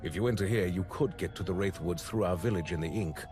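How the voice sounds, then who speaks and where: A man's voice: sits low, fast, close to an everyday voice. A man playing a wary village dweller from an ink world in a video game, cautioning in a theatrical way. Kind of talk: video game character acting. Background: game music.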